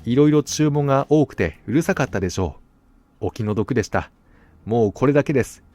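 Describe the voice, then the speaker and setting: A man's voice: mid-range voice, lively intonation, a little fast, darkish tone, no room sound, soft background music, natural voice. A man voicing the polite, insistent notices of a mysterious restaurant in a storybook. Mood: coaxing, apologetic. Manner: courteous, instructing. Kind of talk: storybook reading.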